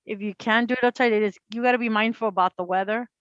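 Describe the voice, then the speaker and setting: A woman's voice: a little high, fairly even intonation, fast, a bit bright, smooth, no room sound. A woman speaking casually and a little hesitantly at an online parents' meeting, heard through a video call. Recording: dull lo-fi video call audio.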